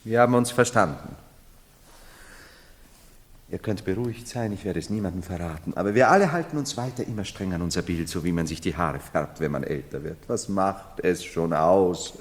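Actor as brooding, imperious mad emperor, long reverb. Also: male voice, low voice, close to an everyday voice. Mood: sly, insinuating. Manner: theatrical, halting then rushing, solemn. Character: unsettled man who believes himself emperor, brooding, imperious. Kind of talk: 1970s German radio drama acting.

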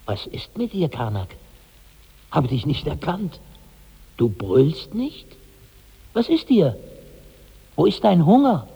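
Husky, creaky, troubled companion. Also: male voice, on the high side, very animated, slow, pauses often, dark tone, exaggerated voice. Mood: bewildered. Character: troubled, puzzled male companion and witness of a glutton. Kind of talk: 1960s German radio drama acting. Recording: dull old radio recording, long reverb.

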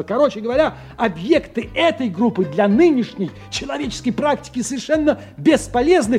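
A man's voice: very high-pitched, a little mellow. A man acting in a radio drama as a tipsy, learned scientist who lectures emphatically, stammering and repeating himself. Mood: earnest, lecturing. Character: excitable, tipsy, learned male scientist explaining mysteries.

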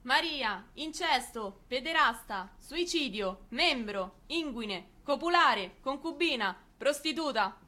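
A woman playing a stern reciter in a theatre piece, giving out words one by one with slow emphasis. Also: sits high, lively intonation, sharp tone, heavily acted. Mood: stern, emphatic. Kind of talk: theatrical recitation of a word list.

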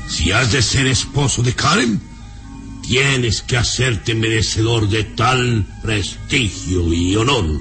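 A man's voice: medium pitch, animated, takes it slowly, pushed and bright, faint music behind, full character voice. A man playing an imperious, scornful baron and father in a theatrical, haughty, commanding radio serial style. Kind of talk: old radio serial acting.

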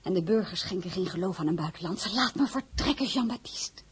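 An actress playing a proud, principled foreign-born crown princess in a radio drama, speaking with formal, deliberate dignity. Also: female voice, lowish voice, lively intonation, dry recording. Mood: earnest, resolute. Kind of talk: dramatized novel, radio play acting. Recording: clearly noisy recording.

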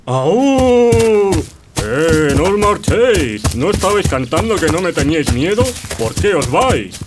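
A menacing storybook wolf, played by a man in a children's radio play. He is boastful and theatrical, with big swings in pitch, over faint music. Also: very animated, takes it slowly.

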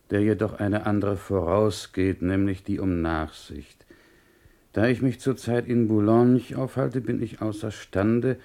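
An actor in a German radio play voicing a courteous, grave gentleman reading a letter in a measured, formal way. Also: lowish voice.

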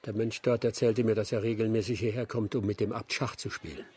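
A man's voice: fairly low pitch, level tone, a little fast, dark tone, slightly rough. A man playing a dutiful assistant investigator in a radio drama, reporting in a matter-of-fact way.

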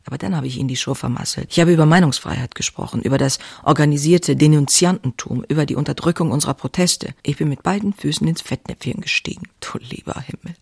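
Woman writer, diary monologue, gravelly, husky, wry, passionate, confiding, literary radio drama. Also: sits low, fast, few pauses, a little mellow, heavily acted. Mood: defiant, wry. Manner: wry, sardonic, intimate. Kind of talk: dramatized diary reading, scripted narration.

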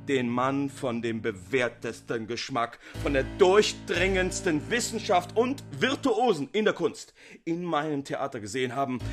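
An effusive gentleman from an old Italian tale. The voice sits high and swoops widely, drawing out flattering words theatrically, with music behind it. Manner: effusive, exclamatory, stretched words. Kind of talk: dramatic audiobook reading.

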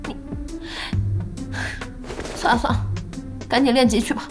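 A woman's voice: a little high, steady tone, unhurried, over loud music, close to an everyday voice. An actress playing a spirited, bossy young female internet cafe owner in a Chinese audio drama, speaking frankly and expressively. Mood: exasperated.

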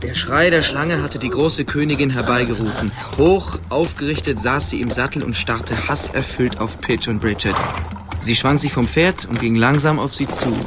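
A man's voice: medium pitch, normal speed, background noise. A man narrating a radio drama as a scripted, dramatic storyteller. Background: faint music.

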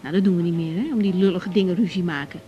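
A woman's voice: on the low side, fast talker, mellow, muffled recording, faint music behind, natural voice. A young woman with a Dutch accent from the Netherlands playing a frank, easygoing doctor in a naturalistic radio drama. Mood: frank, reassuring. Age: young adult.

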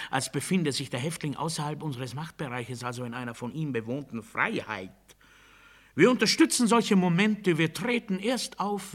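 High, sharp-voiced cold regime official. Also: male voice. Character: cold male official of a brutal regime, menacing ideologue. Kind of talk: German radio play monologue, formal instructional oratory.

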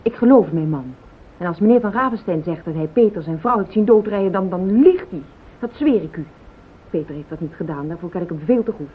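An actress playing an anxious, fiercely loyal wife, formal and insistent, in a radio play. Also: female voice, animated, a little fast, breathy edge. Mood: indignant, insistent. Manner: formal, insistent, easily indignant. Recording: tinny old radio recording, hiss, rumble.

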